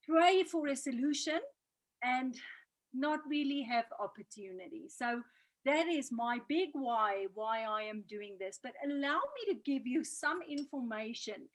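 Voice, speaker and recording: A woman's voice: a little high, low-quality recording. A South African woman hosting an online business training over a video call in an earnest, motivational manner. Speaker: training host.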